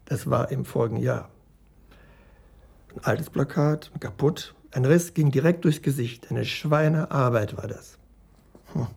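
An actor playing a gruff portrait painter in a radio crime drama, blunt and offhand. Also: male voice, medium pitch, unhurried, pauses often, darkish tone. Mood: gruff, dismissive. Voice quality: gravelly, husky.

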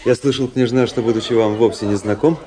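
An actor playing a cool, courtly young officer in a radio play, speaking with measured, polished politeness. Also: male voice, mid-range voice, steady tone, a crowd in the background, close to an everyday voice. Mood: suave, courteous. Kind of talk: radio play narration and dialogue.

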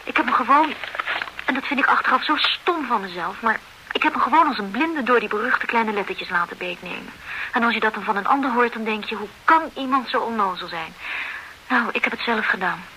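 A woman's voice: a little high, brisk, pushed and bright, a little hiss or hum, low-quality recording. An actress playing a divorced mother in a radio play, earnestly explaining how she was cheated. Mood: rueful. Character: flustered divorced mother duped by an ad.

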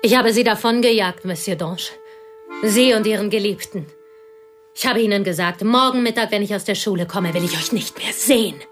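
An actress playing a bitter, lonely woman confiding old betrayals in a hushed, intense voice. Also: medium pitch, a little fast, soft background music, natural voice. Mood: bitter confession. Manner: hushed, intense, often whispering. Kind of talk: radio play acting.

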